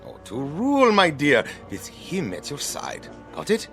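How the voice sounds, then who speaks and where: An excitable film director who gives orders in a theatrical way. His voice is high and swoops wildly up and down. It is a radio drama. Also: faint music behind, full character voice. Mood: bossy. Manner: brisk, bossy, theatrical.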